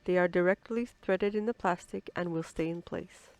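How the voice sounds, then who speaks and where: A woman's voice: on the low side, normal speed, a little mellow. A woman who is slightly under the weather with flu, narrating step-by-step build instructions from a script. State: sick with flu, slightly odd voice. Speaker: co-presenter of build tutorial.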